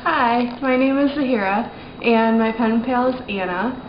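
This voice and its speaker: A woman's voice: on the high side, a little slow. Young woman introducing herself, echoey room. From Milwaukee, USA. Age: young adult. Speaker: college science student. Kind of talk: casual self-introduction. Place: indoors by a wall. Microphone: camera mic a few feet away. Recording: echoey, dull, noisy camera audio.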